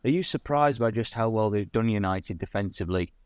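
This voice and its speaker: English male podcast host, low voice, tidy scripted links, dry close sound. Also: expressive, brisk, a little mellow, clean tone, no room sound. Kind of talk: presenting a football podcast.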